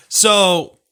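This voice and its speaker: A young man co-hosting a podcast, chatting casually and joking with plenty of swearing. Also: bright, forceful tone. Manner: profane, joking banter. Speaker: podcast co-host. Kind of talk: casual chat.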